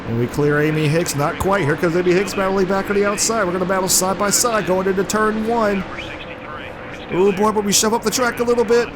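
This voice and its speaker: A man's voice: sits high, fairly quick. A man with a sore, congested throat from flu, giving play-by-play commentary over his own racing game. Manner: play-by-play, excited when the car slides. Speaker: gameplay commentator. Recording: game car noise behind voice. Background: racing game engine noise.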